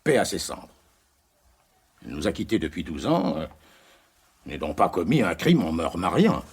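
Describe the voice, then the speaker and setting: An actor playing a touchy, grumbling factory boss nearing fifty in a French radio play. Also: male voice, sits low, very animated, normal speed, husky, exaggerated voice. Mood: defensive, weary. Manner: blustering, grumbling.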